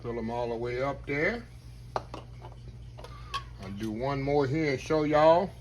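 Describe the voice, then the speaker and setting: A man, chatting to viewers as he cooks in his home kitchen, his voice slightly hoarse. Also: mid-range voice, slow, a little mellow, slight background noise. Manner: chatty, keeps addressing viewers as y'all. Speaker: home cook. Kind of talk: casual cooking demonstration.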